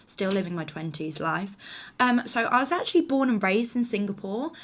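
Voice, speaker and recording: A woman's voice: mid-range voice, lively intonation, moderate pace. A woman in her thirties from England, chatting casually to camera in a rambling, slightly nervous way with lots of fillers. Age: 30s. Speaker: vlogger.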